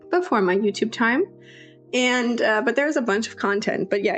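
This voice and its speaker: A young woman with a sore throat and a raspy voice, chatting through a casual voiceover for her game-building video, apologetic and full of restarts. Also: mid-range voice. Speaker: YouTube creator. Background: faint background music.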